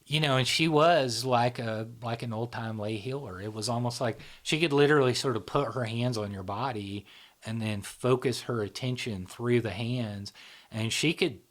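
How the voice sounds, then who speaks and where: A man hosts a relaxed, casual conversation and tells his stories loosely. He keeps checking in with "right?" and "you know?", and his voice sits in an ordinary middle pitch. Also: moderate pace. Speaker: host.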